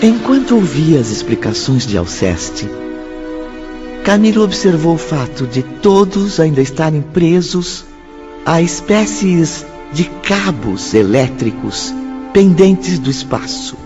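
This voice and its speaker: Muffled recording with music underneath: a male narrator delivers dramatic scripted storytelling, slow and highly expressive.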